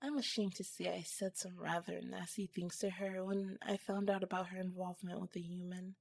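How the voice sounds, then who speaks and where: A woman plays a female alien scientist falling in love, in an intimate ASMR roleplay. Her voice is breathy and whispery, close and dry on the mic. She talks softly in formal, curious, slightly halting phrases. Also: lowish voice, level tone, no room sound. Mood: happy, reflective. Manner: soft, halting, formal wording.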